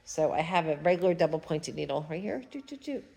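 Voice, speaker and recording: Brief: chatty female crafter, low voice, stuffed-up nose, rambling and humming. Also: lively intonation, a little fast, vocal fry, dark tone. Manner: chatty, rambling, hums while working. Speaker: fiber arts vlogger. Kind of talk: casual knitting tutorial chat. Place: at home.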